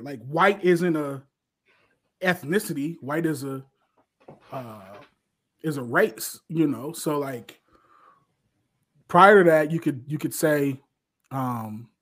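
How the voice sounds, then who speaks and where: American man, slightly high voice, thinking aloud. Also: fairly bright tone. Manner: halting, repeats phrases as he thinks aloud. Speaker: guest on a livestream. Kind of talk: casual, conversational reflection.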